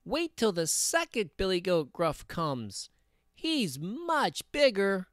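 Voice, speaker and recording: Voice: medium pitch, sing-song, takes it slowly, no room sound, studio quality, pitched above the performer's own voice. A storyteller voicing a tiny, timid young billy goat with a small, meek, pleading way of talking.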